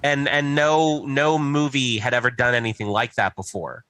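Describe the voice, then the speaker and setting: A man chatting casually as a podcast co-host, speaking in a halting, profane way. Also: mid-range voice, level tone, fairly bright tone. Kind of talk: casual chat about movies.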